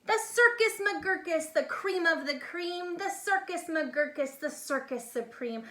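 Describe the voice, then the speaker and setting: A woman's voice: very high voice, expressive, unhurried, fairly bright tone, pitched above the performer's own voice, full character voice. A woman voicing a boastful, eager young boy who plays circus showman in a rhyming storybook, with grandiose singsong delivery. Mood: grand, boastful. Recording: echoey, reverberant room sound.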